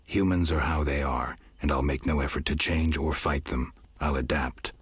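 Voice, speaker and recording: A man playing a stoic, gruff witcher in a video game, speaking in terse, flat, dry lines. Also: very deep voice, normal speed, no echo, exaggerated voice. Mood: calm, terse. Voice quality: gravelly, husky. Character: stoic, gruff mutant monster hunter, a grim male witcher. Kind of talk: video game dialogue acting.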